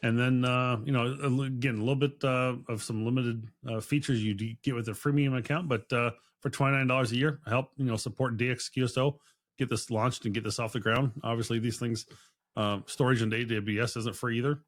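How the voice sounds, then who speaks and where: A man's voice: mid-range voice, fairly even intonation, a little fast. A man hosting a podcast in casual interview chat, effusive and rambling, often repeating himself. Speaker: podcast host.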